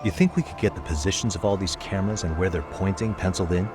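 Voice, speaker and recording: An actor playing a calm, sharp male detective in a video game cutscene, talking through a case in a level-headed, deductive way. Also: sits low, quick pace, lightly acted. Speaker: private detective. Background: background music.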